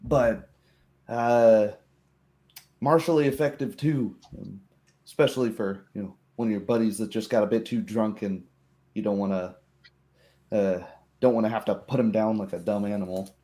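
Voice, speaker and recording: A man's voice: fairly low pitch, fairly even intonation, normal speed, pauses often, warm tone, natural voice. A man voicing a friendly, practical farmer with hesitant, folksy speech in a tabletop roleplay. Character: friendly, rustic male farmer, eager and practical.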